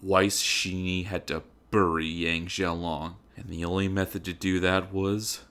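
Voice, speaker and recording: A man's voice: sits low, level tone, a little slow, fairly bright tone. A man narrating a comedic dramatic reading in a theatrical, emphatic, mock-serious manner.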